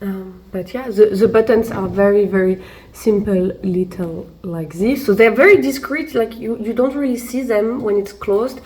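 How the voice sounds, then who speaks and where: A woman's voice: medium pitch, moderate pace, darkish tone, low-quality recording. Chatty woman vlogger with a fading cold. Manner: chatty, many fillers and restarts. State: recovering from a cold. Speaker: fashion vlogger. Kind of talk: casual try-on haul review. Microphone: camera mic while seated.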